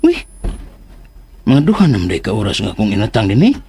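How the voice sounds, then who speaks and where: An actor with a Filipino accent playing a comic, anxious, lovestruck suitor talking to himself with exaggerated delivery in a radio drama. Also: male voice, lowish voice, wildly swooping pitch, fairly quick, vocal fry, dark tone, heavily acted. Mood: hungry, grumbling.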